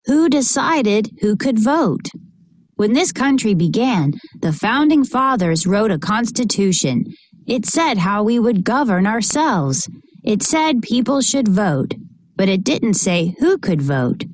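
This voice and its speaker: A woman reading a children's picture book aloud in an expressive, deliberate way for young listeners. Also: mid-range voice, no echo. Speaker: storybook reader.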